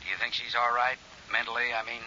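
Sharp, tinny businessman on the telephone. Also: medium pitch, level tone, quick pace. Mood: worried, confidential. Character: concerned businessman client on the telephone. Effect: telephone filter. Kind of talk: 1950s radio drama acting. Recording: tinny, hissy phone line on old radio.

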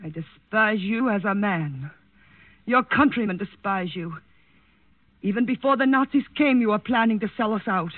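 A woman's voice: mid-range voice, animated, moderate pace, no room sound, natural voice. Woman as proud countess, dry vintage radio. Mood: bitter contempt. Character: proud, bitter countess, unhappy wife, defiant patriot. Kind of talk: 1940s radio drama acting. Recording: narrow-band vintage radio recording, dry.

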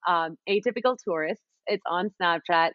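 A woman's voice: on the low side, moderate pace, no room sound, muffled recording. A woman in her twenties from Mexico, raised in New Jersey, telling her story as a podcast guest with frequent ums. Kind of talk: casual interview chat.

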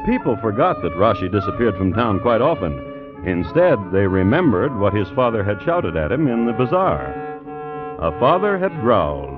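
A man narrating a scripted 1950s radio drama as its host, with wry, measured storytelling. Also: lowish voice. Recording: dull old radio recording with music. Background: music.

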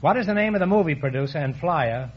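A man's voice: fairly high pitch, expressive, slightly rough. Wisecracking man hosting an old quiz show. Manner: wisecracking, quick puns. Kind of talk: joking quiz show banter. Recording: dull old radio broadcast with hiss.

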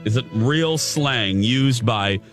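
A man hosting a radio morning show who talks loudly and theatrically, projecting his voice in easy banter. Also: mid-range voice, takes it slowly, music in the background. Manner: loud, projecting, theatrical talker. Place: studio.

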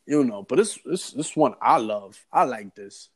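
A man's voice: medium pitch, animated, unhurried, husky. An American man co-hosting a casual hip-hop podcast, speaking in slang and repeating himself enthusiastically for emphasis. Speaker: music podcast co-host.

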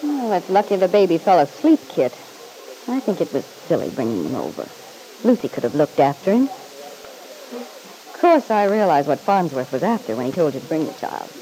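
A woman's voice: lowish voice, big pitch swings, moderate pace, dark tone, rough recording. An actress playing a catty, disillusioned woman in a vintage radio soap, arch, needling and drily amused. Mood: mocking. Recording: old radio recording with faint hiss.

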